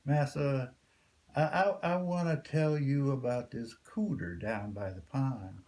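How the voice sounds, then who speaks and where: A man's voice: medium pitch, takes it slowly, a little mellow. A man voicing an earnest, eager enslaved man in a folktale, speaking in folksy dialect. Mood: eager, insistent. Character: earnest enslaved young man, dreamer, pleading his case.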